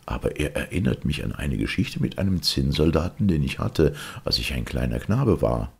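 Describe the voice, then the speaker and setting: A man's voice: rumbling low, sing-song, moderate pace, vocal fry, breathy edge, exaggerated voice. A man voicing a thoughtful, nostalgic young husband in a storybook reading.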